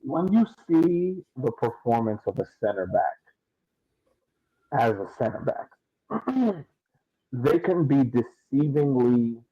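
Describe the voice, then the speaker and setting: A man on a podcast panel giving casual sports analysis, explaining in halting, emphatic phrases that he often repeats. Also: mid-range voice, slightly rough, no echo, lo-fi sound.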